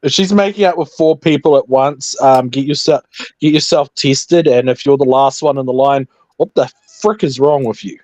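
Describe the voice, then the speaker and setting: Male stream guest, late 20s, dark mellow tone, self-deprecating banter, close dry sound. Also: mid-range voice, normal speed, dry recording. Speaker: livestream guest. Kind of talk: casual chat.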